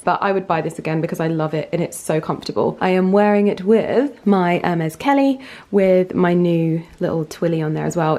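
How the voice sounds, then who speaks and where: A woman vlogging in casual, rambling chat, her voice croaky this morning. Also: lowish voice, mellow. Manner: chatty, rambling, lots of fillers.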